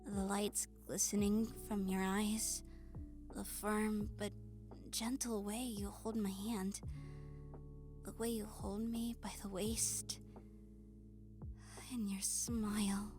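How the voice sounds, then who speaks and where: A woman voicing a shy, nerdy young goblin woman in a romantic audio roleplay, hesitant, stammering and soft-spoken. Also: mid-range voice, flat delivery, takes it slowly, long pauses, lightly acted. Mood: shy, easing. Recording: music behind the voice. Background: soft music.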